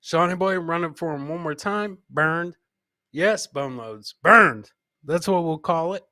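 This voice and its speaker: A man's voice: a little high, unhurried, sharp tone, no echo, studio quality. A man hosting a live comedy show, riffing casually on clips in a rambling, profane, jokey way.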